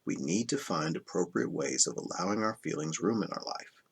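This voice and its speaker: A man reading a self-help book aloud as scripted narration, in a measured, instructional manner that stresses key terms. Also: sits low, animated, gravelly, husky. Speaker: audiobook reader.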